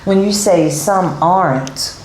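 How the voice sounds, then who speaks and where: A woman's voice: lowish voice, takes it slowly, a little mellow, reverberant, slight background noise, low-quality recording. A middle-aged woman hosting a talk show, chatting casually with guests, with many fillers and repeated yeahs. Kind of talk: casual interview chat.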